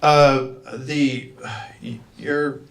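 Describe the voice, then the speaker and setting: Gravelly man interviewing from a distance. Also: medium pitch, steady tone. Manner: halting, restarts his questions often. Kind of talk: mock job interview questions. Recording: distant mic with faint background noise.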